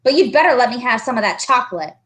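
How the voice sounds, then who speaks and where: Greedy boy bully, acted by a woman, demanding and bossy, high-pitched, for a children's story. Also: animated, fast talker, fairly bright tone. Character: Spider, a pushy, greedy schoolboy bully.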